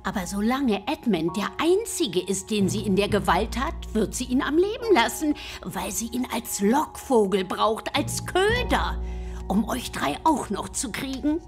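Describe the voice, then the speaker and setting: Lively, motherly talking beaver. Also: a little high, unhurried. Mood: earnest warning. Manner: earnest, explanatory, emphatic. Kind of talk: German radio drama acting. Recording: music under the voice.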